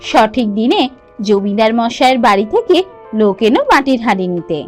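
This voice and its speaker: A woman narrating a village folk tale for a cartoon in a calm, steady way. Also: a little high, brisk, soft background music. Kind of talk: storytelling narration.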